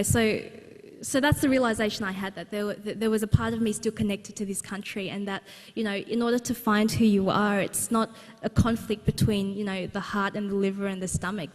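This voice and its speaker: A young woman, an author, telling a personal story at a book launch in an earnest, conversational way with many restarts. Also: medium pitch. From Australia. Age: young adult. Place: large exhibition centre hall. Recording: long hall reverb.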